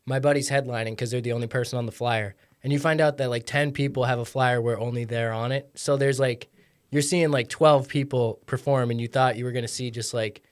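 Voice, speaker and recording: A man's voice: medium pitch, steady tone, fairly quick. Rambling, filler-heavy male podcast guest. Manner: rambling and explanatory, full of "like" fillers. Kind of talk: casual chat.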